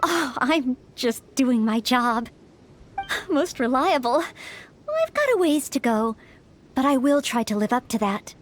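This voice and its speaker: A woman's voice: high voice, expressive, measured pace, slight background noise. A woman voicing a polite, earnest young maid who is eager to help, in video game acting. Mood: earnest, modest. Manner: courteous, dutiful, apologetic.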